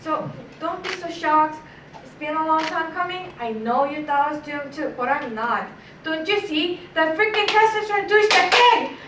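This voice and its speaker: A woman's voice: high-pitched, normal speed, close to an everyday voice. An actress playing an exasperated woman in a stage monologue, venting indignantly and dramatically about her boyfriend. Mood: defiant. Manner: conversational, indignant, dramatic storytelling with outbursts. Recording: echoey stage, distant mic, background noise.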